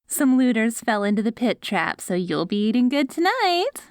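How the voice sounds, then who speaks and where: A woman's voice: on the high side, very animated, normal speed, darkish tone, no echo, clean studio sound. A woman playing a doting, patient wife in an ASMR roleplay audio drama, coaxing sweetly like a caregiver. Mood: cheerfully coaxing. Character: doting wife caring for her ghoul husband after apocalypse.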